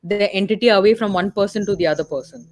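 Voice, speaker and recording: A woman's voice: mid-range voice, fast, darkish tone, faint music behind. A woman with an Indian accent chatting casually as co-host of a live podcast. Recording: noisy live-stream audio.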